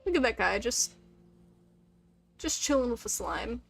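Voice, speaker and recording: A woman's voice: fairly high pitch, lively intonation, measured pace, creaky, soft background music. A woman livestreaming a game, chatting casually with viewers in a joking, self-deprecating way. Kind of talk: casual rambling chat with viewers.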